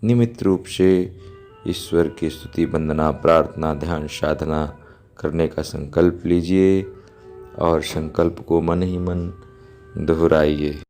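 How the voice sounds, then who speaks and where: A man leading a guided relaxation meditation as a yoga instructor, giving calm, measured instructions in short, spaced phrases. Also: rumbling low. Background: instrumental music.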